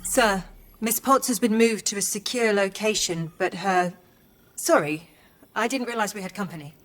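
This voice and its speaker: A woman's voice: medium pitch, normal speed, natural voice. An actress playing a polite but sassy young female AI assistant in a video game, efficient and formal with dry sarcasm. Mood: apologetic, subdued.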